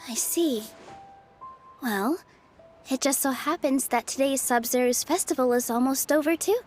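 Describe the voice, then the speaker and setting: A woman voicing a gentle, sincere young festival dancer in an anime-style game, speaking earnestly with music behind her. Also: sits high, moderate pace, lightly acted. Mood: wistful. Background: background music.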